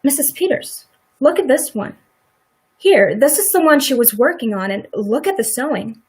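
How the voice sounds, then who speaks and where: Woman playing a high-voiced, husky, folksy farm wife. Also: lively intonation, moderate pace, heavily acted. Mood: curious, fidgety. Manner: folksy rural speech, plain-spoken. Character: middle-aged farm wife, sympathetic, quietly indignant. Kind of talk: live radio play acting.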